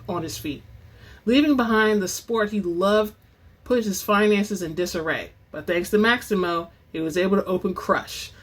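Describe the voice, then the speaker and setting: A narrator reading and presenting fiction in an expressive, theatrical storytelling manner as host of a reading channel. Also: medium pitch, breathy edge. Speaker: audiobook-style reader and channel host. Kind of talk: dramatic book narration; casual chatty sign-off to listeners.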